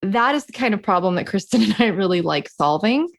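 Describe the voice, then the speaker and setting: A woman's voice: mid-range voice, lively intonation, a little fast, gravelly, a little hiss or hum. A woman co-hosting a podcast as a coach, chatting casually in a frank, emphatic way. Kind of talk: casual chat between co-hosts.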